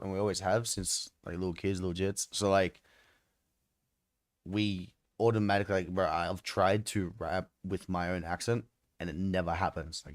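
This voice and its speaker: Young man, Australian accent, deep voice, dry close sound, casual slang, live-stream chat. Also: dry recording. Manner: laid-back slangy talk, swears, says "bro" a lot. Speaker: streamer and beat maker. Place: home studio room indoors.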